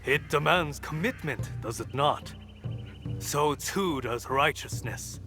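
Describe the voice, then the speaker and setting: An actor playing a righteous, steadfast warrior monk mentor in a video game, speaking in a deliberate, formal, philosophical way. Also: male voice, on the high side, music in the background. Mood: calm, instructive. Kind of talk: dramatic video game acting.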